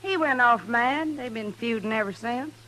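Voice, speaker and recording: A stubborn mountain woman with a high, piercing voice and a thick Ozark hillbilly accent. She talks bluntly and folksily, in the style of an old-time western radio drama. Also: moderate pace, pushed and bright. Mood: folksy, indignant. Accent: American hillbilly, Ozark. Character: stubborn, worried hillbilly woman of a feuding family.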